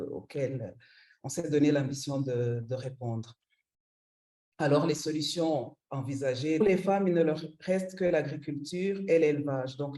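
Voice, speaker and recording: A woman's voice: sits low, dark tone. A middle-aged woman from Rwanda presenting her project for women, often hesitating and repeating small words while she searches for the next one.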